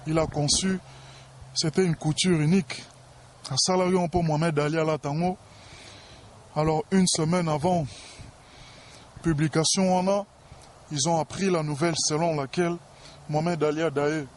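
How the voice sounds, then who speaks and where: A man's voice: on the high side, fairly even intonation, normal speed, pauses often, slight background noise. A man with a Congolese accent speaking in a pompous, boastful, self-important way in a talk-show interview.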